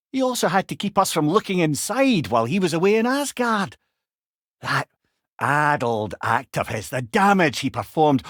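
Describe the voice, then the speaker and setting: A learned old Scottish god from a video game, a companion to warriors who tells tales with wry wit. His pitch swings expressively through eloquent, old-fashioned sentences, and the sound is dry and close. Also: fairly bright tone, dry recording. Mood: indignant, reflective. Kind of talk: video game character dialogue, storytelling banter.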